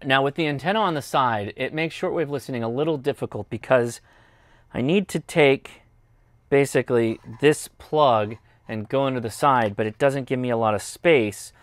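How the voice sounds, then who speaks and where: A man's voice: medium pitch, moderate pace, no room sound. American guy in his 30s doing a casual gadget review, explains stuff informally, dry room. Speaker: host, radio reviewer. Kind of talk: casual product review and demonstration. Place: indoors, home radio studio and workbench.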